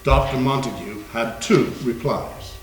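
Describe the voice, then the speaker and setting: A man's voice: mid-range voice, a little slow, faint music behind. A man reading scripted story narration aloud for a readers theater. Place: indoor room, live library reading. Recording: echoey room with faint hum.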